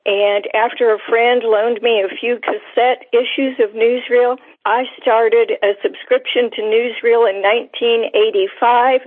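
Husky woman on a tinny phone line. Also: a little high. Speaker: caller, long-time subscriber. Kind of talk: appreciative call-in testimonial.

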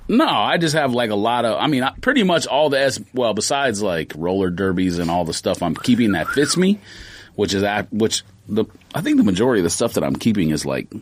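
Lively man chatting, close dry sound. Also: lowish voice, a little fast, no echo. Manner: slangy, rambling. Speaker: sneaker podcast co-host. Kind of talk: casual podcast banter.

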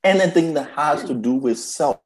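A man from Liberia, a podcast guest telling stories in a slow, emphatic way and often repeating words as he starts a thought. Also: on the high side, animated. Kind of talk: reflective conversational storytelling.

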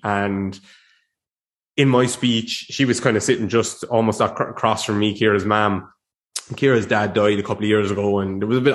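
A young man hosting his podcast in a casual, rambling chat, a little sniffly from a cold. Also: fairly low pitch. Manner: rambling, chatty, with restarts and laughs. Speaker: podcast host.

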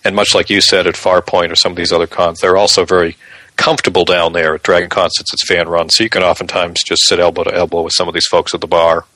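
A man's voice: deep voice, little pitch movement, fast, sharp tone, dry recording. A man chatting casually as a co-host on a podcast.

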